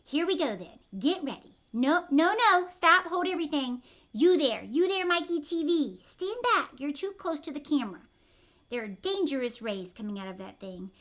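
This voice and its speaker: A woman voicing an excitable, bossy male chocolate inventor in a children's read-aloud, exclaiming emphatically like a showman. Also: high voice, normal speed, pitched above the performer's own voice. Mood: urgent, bossy.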